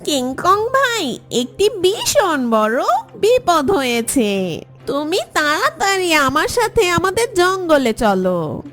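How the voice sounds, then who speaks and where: A very high-pitched, cheeky little bird in a children's cartoon. She teases and flatters with drawn-out, swooping playful mockery, over background music. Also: full character voice. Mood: urgent.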